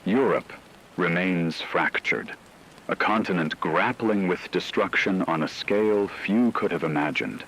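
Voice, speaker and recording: Guy acting as grave doomsday radio newsman, really low voice, formal and solemn, rain noise behind him. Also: a little slow, a bit bright, rough recording. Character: grave male radio news anchor reporting a world war. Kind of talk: scripted dramatic news narration.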